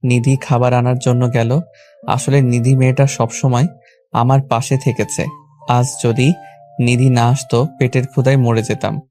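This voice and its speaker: Male narrator, Bangladeshi accent, mellow and level, first-person love story over soft music. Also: medium pitch, flat delivery, normal speed. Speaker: narrator and lead character of a romantic audio story.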